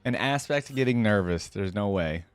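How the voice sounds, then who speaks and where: A man's voice: on the low side, expressive, moderate pace, noisy background. A man giving casual, chatty commentary as a reaction video host, easily amused and hedging as he goes.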